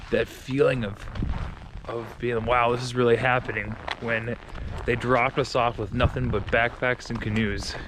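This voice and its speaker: A young man from Minnesota with a loud voice, a real estate agent chatting casually to camera while walking his dog outdoors, rambling with restarts. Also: mid-range voice. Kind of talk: walking vlog talking to camera. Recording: outdoor noise and passing vehicles.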